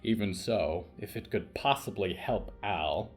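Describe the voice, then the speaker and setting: A man's voice: deep voice, measured pace, faint music behind. A man reading scripted narration for an audio fiction story.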